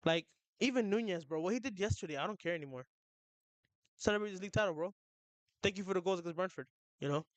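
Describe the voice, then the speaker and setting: An excitable young man chatting on a livestream, rambling and stumbling over his words. His voice sits a little high and comes through smooth and clear. The sound is dry and close. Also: fast, long pauses, no echo. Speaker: streamer. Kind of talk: casual livestream chat.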